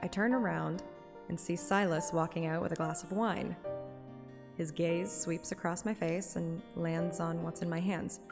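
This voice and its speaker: A woman's voice: lowish voice, fairly even intonation, measured pace, vocal fry. A woman streaming a game, reading its story aloud like narration and chatting casually about it. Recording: game music under the voice. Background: game soundtrack music.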